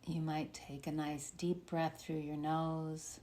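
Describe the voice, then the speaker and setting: Slow, low-voiced woman guiding meditation. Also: little pitch movement, dark tone. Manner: soothing, unhurried, with long pauses. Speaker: meditation guide. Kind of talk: guided meditation, body scan. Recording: faint background noise.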